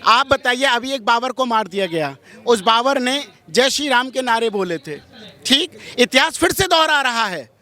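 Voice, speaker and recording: High-pitched, forceful man, strident and combative. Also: lively intonation, moderate pace. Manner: strident, combative, rhetorical questions. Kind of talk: impassioned street interview answers.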